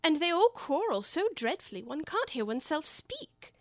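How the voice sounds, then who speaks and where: A woman's voice: very high-pitched, huge pitch swings, moderate pace, pushed and bright, no room sound, studio quality, pitched above the performer's own voice, full character voice. A woman voicing a polite young girl who speaks in a prim, complaining tone.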